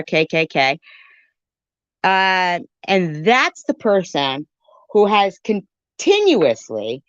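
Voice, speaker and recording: A woman's voice: lowish voice, no room sound, highs cut off. A woman giving an informal live-stream rant in an irritated, emphatic way, repeating phrases to drive her point home.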